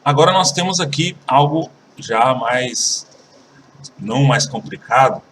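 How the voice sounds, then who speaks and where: A Brazilian man teaching an English lesson, switching between languages and repeating example sentences slowly and carefully. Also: medium pitch, animated, fairly bright tone, far from the mic, a little hiss or hum. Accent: Brazilian Portuguese.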